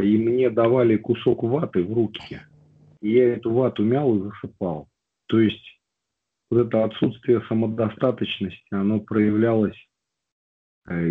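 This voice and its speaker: A man's voice: lowish voice, breathy edge. Man with a cold on a tinny call. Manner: slow, reflective, with hesitant fillers. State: has a cold. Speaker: recovery group speaker. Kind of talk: personal testimony talk. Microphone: video call. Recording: tinny phone-like line.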